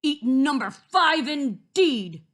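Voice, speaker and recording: A woman's voice: on the high side, wildly swooping pitch, slow, fairly bright tone, pitched above the performer's own voice, heavily acted. A woman voicing a blustering, easily hurt male wolf in a children's story, speaking emphatically. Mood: angry, defiant. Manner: emphatic, exclaiming, polite or roaring.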